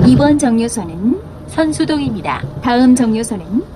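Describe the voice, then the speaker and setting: A woman's voice: a little high, big pitch swings, normal speed, noisy background. A woman reading scripted, pre-recorded bus stop announcements.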